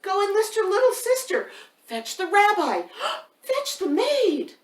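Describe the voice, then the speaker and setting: Casting: hysterical storybook mom, done by a woman reader, shrill urgent exclamations, very high and swooping. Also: very animated, a little slow, full character voice. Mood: frantic, urgent. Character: frantic, hysterical mother summoning help for her child. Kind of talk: rhyming picture-book read-aloud. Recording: roomy, distant mic, faint noise.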